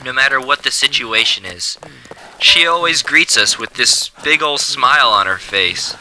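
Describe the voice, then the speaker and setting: A man playing a brash, hot-headed teenage boy in a radio drama, quick to anger but soft-hearted. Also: medium pitch, expressive, poor audio. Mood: regretful, earnest. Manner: brash, combative. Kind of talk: amateur anime-style radio drama acting.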